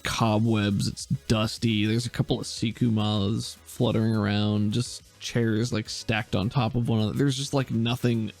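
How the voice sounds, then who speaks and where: A man narrating a tabletop game as game master, describing scenes in a relaxed way with many filler pauses. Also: fairly low pitch, creaky, faint music behind.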